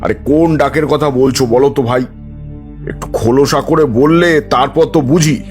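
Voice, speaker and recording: A man's voice: mid-range voice, moderate pace, lightly acted. Male actor as doubting villager, mid-range voice, dismissive, questioning, cartoon dialogue, faint music. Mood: skeptical. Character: skeptical, dismissive village man. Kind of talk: cartoon voice acting.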